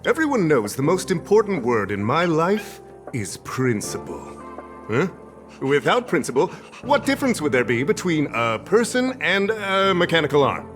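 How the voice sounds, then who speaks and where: A man's voice: fairly high pitch, wildly swooping pitch, normal speed, a bit bright. Pompous, swooping male professor. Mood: pompous, flustered. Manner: pompous, grandiloquent, hesitating when cornered. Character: vain, theatrical male professor adored by fans. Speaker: voice actor in a video game. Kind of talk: theatrical game character dialogue. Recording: game dialogue with music behind.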